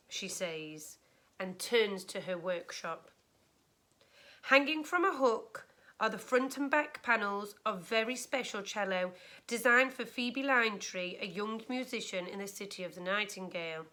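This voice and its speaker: A woman teacher reading a storybook aloud to a class of children, expressively and with deliberate, explanatory care. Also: mid-range voice, lively intonation, pushed and bright. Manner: clear, deliberate explaining to young listeners.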